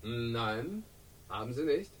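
A suave crime boss in an old radio thriller, feigning innocence. The husky, medium-pitched voice is picked up at a distance. His manner is urbane, ironic and smoothly evasive. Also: very animated, takes it slowly. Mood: guarded, urbane. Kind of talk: 1960s German radio crime drama acting.

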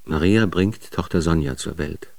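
A man narrating biographical facts in a radio drama, in a factual, measured way. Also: sits low, creaky, a little mellow.

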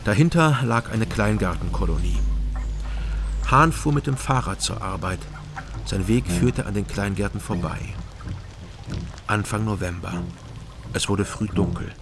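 A man's voice: fairly low pitch, a little slow, pauses often, background noise. An actor playing a laconic ex-policeman turned private detective, narrating a radio drama in a dry, matter-of-fact way.

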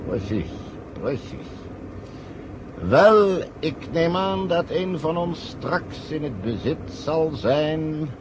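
An actor playing a sly, smug conspirator who calmly gives orders in a vintage radio play. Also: male voice, on the high side, takes it slowly, noisy background. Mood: cool, menacing. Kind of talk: 1970 radio play acting.

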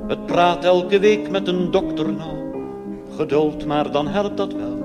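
A male cabaret performer with a high voice declaims rhythmically, moving between speech and song. He addresses listeners directly in a theatrical style. The sound has long hall reverb, with loud music accompanying him. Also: takes it slowly. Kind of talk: theatrical cabaret song, half spoken, half sung.

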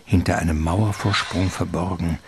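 A man narrating a literary radio play in a measured, ornate storytelling manner. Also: low-pitched, fairly even intonation, noisy background.

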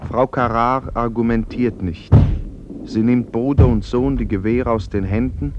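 An actor as the sober, detached narrator of a radio drama, reading scripted narration. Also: male voice, mid-range voice, fairly even intonation, unhurried, close to an everyday voice. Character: sober male narrator of stage directions. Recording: dull, noisy old radio recording.

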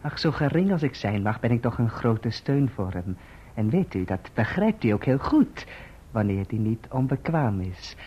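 An actor playing a scheming clerk in a radio play, obsequious and insinuating, on a dull recording. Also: male voice, mid-range voice, very animated, normal speed, mellow, lightly acted. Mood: smug, insinuating. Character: scheming, falsely humble male clerk, oily and self-satisfied.

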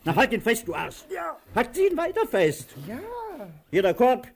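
An actor playing a pompous, bullying, fussy master with a husky, rough voice in a theatrical radio play. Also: male voice, extremely high pitch, wildly swooping pitch, normal speed, exaggerated voice. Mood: barking, commanding. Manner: barks orders, then lapses into ceremonious politeness.

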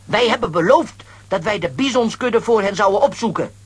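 An actor playing a boastful, grumpy comic man in a children's audio drama, blustering and stammering with a raspy edge. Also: sits high, lively intonation, fairly quick, exaggerated voice. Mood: indignant. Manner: blustering, stammering when caught out.

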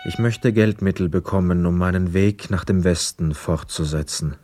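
An actor playing a reflective, weary homecoming soldier in a radio play, with measured, lyrical phrasing. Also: male voice, low voice, over music, lightly acted. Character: weary young war returnee, reflective, dreamy wanderer. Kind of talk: literary radio play monologue and dialogue.

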